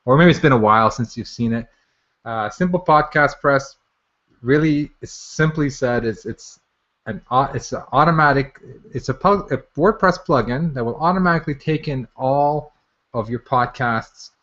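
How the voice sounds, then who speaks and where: A man's voice: medium pitch, normal speed, a bit bright. Man in dull lo-fi webinar audio. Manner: informal, repeats phrases as he goes. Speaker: software maker hosting a product launch webinar. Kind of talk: casual unscripted live webinar presentation.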